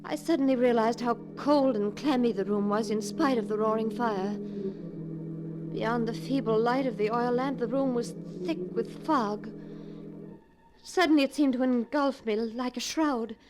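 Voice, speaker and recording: A high-pitched, uneasy young wife played by a woman in a 1940s radio chiller, with music behind. The speech is earnest and careful, with a devoted, dramatic edge. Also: unhurried, natural voice. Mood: uneasy, foreboding.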